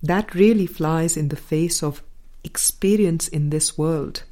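Slow, mellow woman reading aloud. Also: low-pitched. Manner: measured, deliberate phrasing with pauses. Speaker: audiobook reader. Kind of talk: scripted spiritual book reading.

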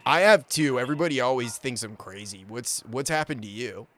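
A man chatting casually and informally, in a halting, hesitant way. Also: mid-range voice, a bit bright, a little hiss or hum. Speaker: prank caller and podcast guest. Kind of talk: casual, hesitant phone chat.

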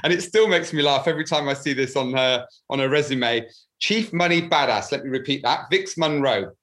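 A man's voice: mid-range voice, brisk, fairly bright tone. A man hosting a podcast, reading an enthusiastic, polished introduction of his guest. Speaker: podcast host.

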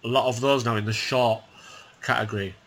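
A man hosts a casual film podcast, his voice bright and forceful at an ordinary pitch. He talks in a chatty way, stopping to restart and fill in with "kind of" and "you know". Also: steady tone, brisk. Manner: chatty, hesitant with fillers and restarts. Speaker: podcast host.

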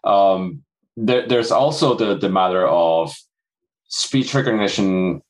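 Man, very low pitch, halting and repetitive delivery. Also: expressive, rough recording. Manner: halting, many restarts. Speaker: panelist. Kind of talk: casual panel discussion.

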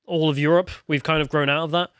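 A man's voice: fairly high pitch, fairly even intonation, quick pace, fairly bright tone, clean tone, dry recording, clean studio sound. A man co-hosting a podcast, talking casually, laughing easily and reading viewer comments aloud. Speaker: podcast co-host.

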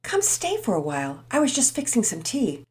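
Brief: woman voicing a sweet, homely mother, gentle and modest, in a children's story. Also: on the high side, wildly swooping pitch, moderate pace, voice pushed up. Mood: warm. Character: warm, humble mother.

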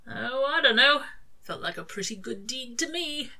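Cheeky male demon for a story reading, acted by a woman: casual, slangy, sardonic, bright and forward in tone. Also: sits high, expressive, normal speed, pitched above the performer's own voice, full character voice. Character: cheeky, teasing, laid-back male demon.

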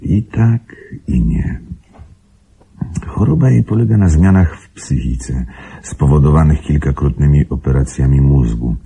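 An actor playing a grave, secretive wealthy husband in a radio drama, speaking formally with measured control in a gravelly, husky voice. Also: male voice, extremely low pitch, expressive, warm tone, slight background noise, heavily acted. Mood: grave, troubled. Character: grave, secretive wealthy husband guarding his invalid wife.

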